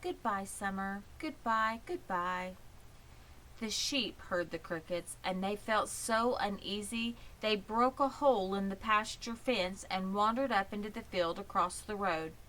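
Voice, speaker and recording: A woman narrating a children's story in an expressive, measured way. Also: medium pitch, a little hiss or hum, low-quality recording. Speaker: audiobook reader.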